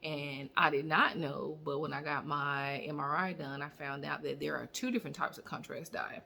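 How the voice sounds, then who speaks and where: A woman vlogger chatting casually to her viewers, rambling with fillers and restarts, her voice a little hoarse. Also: low voice, fairly even intonation, normal speed, sharp tone. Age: adult student. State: slightly hoarse. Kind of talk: casual personal vlog update.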